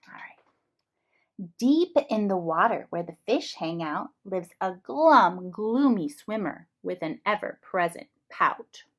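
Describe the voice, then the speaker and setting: A woman reading a storybook aloud to young children in an expressive, explanatory way. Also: medium pitch, takes it slowly. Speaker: read-aloud host for young English learners.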